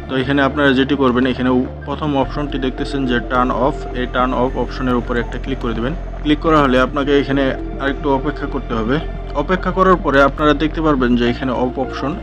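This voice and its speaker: A man with a Bangladeshi accent narrating a step-by-step tech tutorial as its host, in an instructional, repetitive way. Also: medium pitch. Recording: dull lo-fi voice-over with music behind. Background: clear background music.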